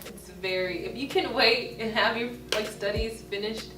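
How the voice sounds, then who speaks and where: High-voiced young woman, roomy sound. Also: moderate pace. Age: 20s. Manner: candid, animated, slangy. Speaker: interview guest. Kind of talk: casual chat. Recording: roomy, distant mic, music behind. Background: music.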